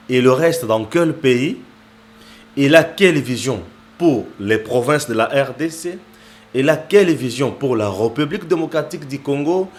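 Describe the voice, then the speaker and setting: A man hosting an online interview show, greeting viewers formally and firing off emphatic questions at his guest. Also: mid-range voice.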